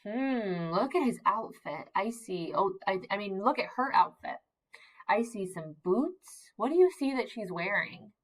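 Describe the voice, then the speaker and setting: A woman teacher reading aloud to young children, expressive and encouraging, using simple emphasized words and pausing to ask questions. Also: medium pitch. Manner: encouraging, asks questions and pauses for answers. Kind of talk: interactive read-aloud lesson for young children.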